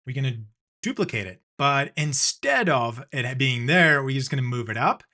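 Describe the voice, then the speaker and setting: A man from South Africa hosts a software design tutorial, explaining each click in a relaxed, step-by-step way. His voice is bright and forward, at an ordinary middle pitch. It sounds like a desk-mic voice-over. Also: moderate pace. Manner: relaxed step-by-step narration with fillers. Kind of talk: screen-recorded software tutorial.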